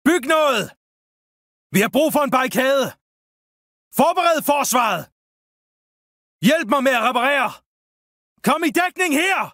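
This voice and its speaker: Man acting urgent soldier, very high-pitched. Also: lively intonation, long pauses, bright, forceful tone, no room sound, studio quality, heavily acted. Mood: alarmed, urgent. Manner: urgent, barked military callouts. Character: urgent Danish-Norwegian soldier of the gunpowder age, fighting cannibals. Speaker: voice actor for game callouts. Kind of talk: video game battle voicelines.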